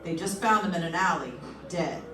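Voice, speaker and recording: A woman's voice: lowish voice, moderate pace, a crowd in the background, lightly acted. A woman playing a cautious, matter-of-fact police lieutenant in an old-time radio detective drama. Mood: brisk, grim. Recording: echoey stage, distant mic.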